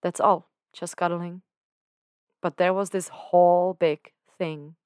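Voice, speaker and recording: A woman's voice: lowish voice, little pitch movement, slow, a little mellow, smooth, no room sound, studio quality. A woman voicing an emotional young man who talks in an earnest, candid way with casual slang. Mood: confessional. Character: young man, emotional and confessional, self-doubting.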